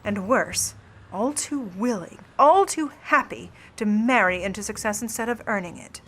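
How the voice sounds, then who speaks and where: A woman's voice: medium pitch, normal speed, soft background music, lightly acted. A woman as a cool, haughty scheming starlet. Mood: bitter, proud. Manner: haughty, controlled, cutting formal delivery. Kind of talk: old-time noir radio play acting.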